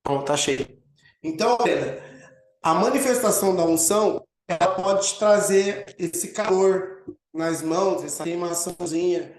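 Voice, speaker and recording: A man with a Brazilian accent, an apostle teaching a healing course over a video call, energetic and emphatic as he answers questions. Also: sits high, a little slow, low-quality recording. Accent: Brazilian Portuguese. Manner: energetic preacher, swells and emphasizes key words. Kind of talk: live online teaching with Q&A.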